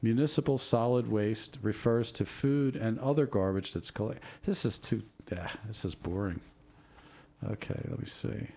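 A man hosting a show, reading out corny jokes with a casual, jokey manner, laughing at his own puns while losing his voice and clearing his throat. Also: on the low side, moderate pace, warm tone. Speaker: show host.

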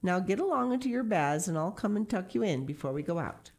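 A woman voicing a flustered, cheerful mother pig in a read-aloud picture book, talking brightly and in a hurry to please. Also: mid-range voice, animated. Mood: bright, fussing. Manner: bright, hurried, eager to please.

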